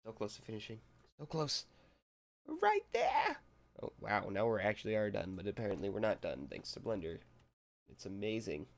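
A young man talks through a screen tutorial in a relaxed, rambling way. His voice sits fairly low and is a little hoarse from a cold. He chuckles to himself now and then. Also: moderate pace. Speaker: tutorial maker. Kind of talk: casual screen-recorded tutorial.

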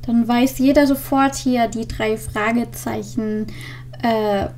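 High-voiced young woman, slow and casual. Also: steady tone, a little mellow, a little hiss or hum. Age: young adult. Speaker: video host. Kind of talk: casual vlog with story reading. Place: indoors, small room with green screen. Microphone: desk microphone with pop filter.

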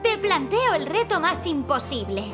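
A woman's voice: extremely high pitch, big pitch swings, measured pace, exaggerated voice. A woman voicing a bold young girl adventurer in a children's cartoon, speaking in a ceremonious, declamatory way. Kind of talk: animated children's cartoon dub. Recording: music behind the voice.

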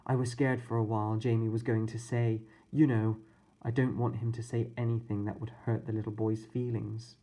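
A man's voice: fairly low pitch, little pitch movement, normal speed, dark tone. A man voicing a stern but kindly woman babysitter who scolds and preaches to the boys in a storybook reading. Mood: earnest.

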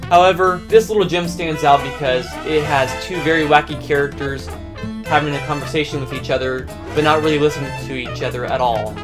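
A man's voice: a little high, steady tone, fairly quick, rough recording. Young man presenting over music. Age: young adult. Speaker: host of a countdown review video. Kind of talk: scripted narration.

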